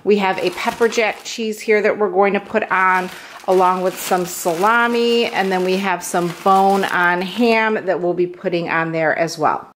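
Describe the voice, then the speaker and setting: A woman's voice: mid-range voice, unhurried. A woman in her thirties, a mother, casually talking through a lunch prep at her kitchen counter, chatty and easygoing. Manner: chatty, relaxed, fillers like "go ahead" and "like". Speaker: home cook, meal prep channel host. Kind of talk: casual cooking demonstration voice-over.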